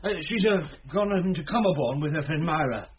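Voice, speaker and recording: A man's voice: on the high side, expressive, fairly quick, natural voice. A British actor playing an edgy, evasive, lonely man who speaks hesitantly in a radio drama. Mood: flustered, evasive. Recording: dull recording with clear noise.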